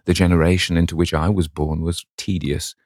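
A man voicing a courteous, bookish old gentleman with formal speech and dry wit in a storybook reading. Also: low-pitched, little pitch movement, brisk, no room sound, natural voice. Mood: courteous, wry. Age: older.

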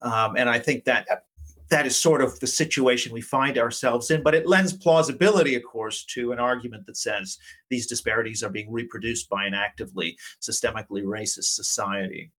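A man from Canada with a medium-pitched voice, talking as an academic guest. He explains ideas conversationally and carefully, adding qualifications and asides as he goes. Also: a bit bright. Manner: measured, qualifying, with frequent asides. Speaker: academic interview guest, politics professor.

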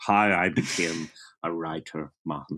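Man, 50s, Belfast accent, very low voice, wry anecdotal storyteller, casual interview. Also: flat delivery, unhurried, a bit bright. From Northern Ireland. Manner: wry, self-mocking anecdotes with frequent restarts. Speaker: writer.

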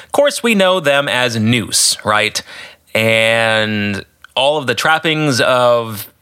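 An American man hosting a solo podcast as an author, explaining his work with emphatic, deliberate phrasing. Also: mid-range voice, animated, pushed and bright, smooth.

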